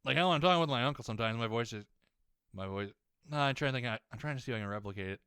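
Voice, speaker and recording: A young man ranting while playing a game, rambling, swearing a lot and often restarting his sentences, his voice slightly hoarse as it warms up. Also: medium pitch, racing, no room sound, studio quality. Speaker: gaming YouTuber.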